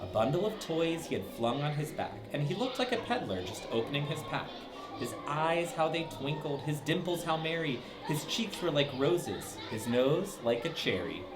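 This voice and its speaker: A man's voice: fairly high pitch, normal speed. Guy reading a bedtime story to kids, voice bouncing all over, lots of background hiss. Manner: lively, sing-song, with dramatic swoops. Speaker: storybook reader for children. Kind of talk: reading a rhyming story aloud.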